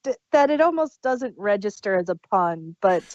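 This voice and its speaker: A woman's voice: mid-range voice, big pitch swings, normal speed, a little mellow, no echo. A woman co-hosting a casual review chat, on a dull lo-fi recording. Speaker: podcast co-host reviewing audio dramas.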